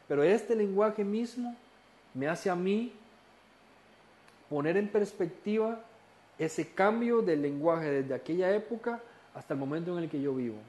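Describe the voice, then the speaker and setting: A man with a Costa Rican accent teaching a recorded Bible study lesson, explaining calmly and posing rhetorical questions. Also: high-pitched, measured pace, long pauses. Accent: Costa Rican Spanish. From Costa Rica. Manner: calm explaining, rhetorical questions, occasional restarts.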